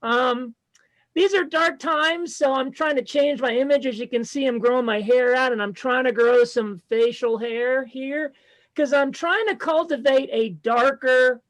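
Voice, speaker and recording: A comedian in his fifties with an unusually high voice for a man, telling self-deprecating jokes in a deadpan way over a video call. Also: unhurried, poor audio. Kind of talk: stand-up comedy set.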